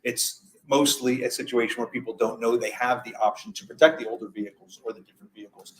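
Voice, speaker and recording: A man's voice: medium pitch, steady tone, quick pace, a little hiss or hum, poor audio. Man presenting a conversational technical webinar. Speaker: webinar presenter, product expert.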